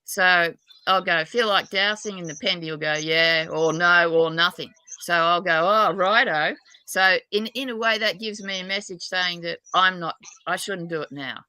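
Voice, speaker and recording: A woman's voice: lowish voice, normal speed, sharp tone, clear, resonant tone, no room sound, rough recording. A woman from New South Wales with a broad Australian accent, chatting casually in a candid, self-deprecating, rambling way as a guest. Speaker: guest dowser. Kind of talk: casual chat.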